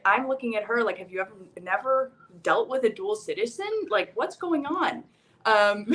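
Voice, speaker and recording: A young American woman, a guest on a podcast, telling stories about moving abroad in a chatty, animated way. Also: fairly high pitch. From United States. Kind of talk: casual podcast conversation.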